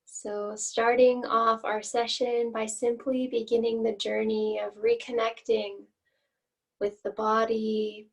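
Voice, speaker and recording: A woman leading a guided meditation as an instructor, with gentle, inviting phrasing and long pauses, recorded at some distance from the mic. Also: a little high, level tone, creaky.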